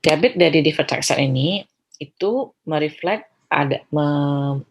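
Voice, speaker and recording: A woman's voice: sits low, measured pace, slight background noise. An Indonesian woman lecturing through slides in an explanatory way, mixing in English terms and often adding small check-in tags. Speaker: accounting lecturer.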